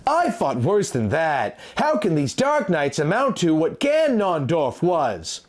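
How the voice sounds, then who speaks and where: A man's voice: on the high side, huge pitch swings, slow, heavily acted. A man voicing a stout, confident fantasy hero with a firm, plain-spoken manner in a storybook reading. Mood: stout, boastful.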